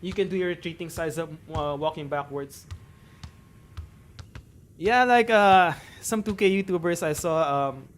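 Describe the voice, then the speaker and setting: Filipino man, 30s, slightly high pitch; easygoing live-stream game coach, explains as he goes. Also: a little slow, pauses often, a little hiss or hum. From Philippines. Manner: relaxed, chatty, explains with frequent fillers. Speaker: gaming streamer.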